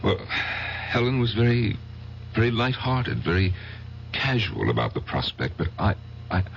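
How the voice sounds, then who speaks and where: An actor playing an insecure, besotted husband who recounts his past in a halting, self-deprecating, dramatic old-time radio style. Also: on the low side, big pitch swings, vocal fry, fairly bright tone, heavily acted. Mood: anguished. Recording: dull lo-fi old radio broadcast, music behind.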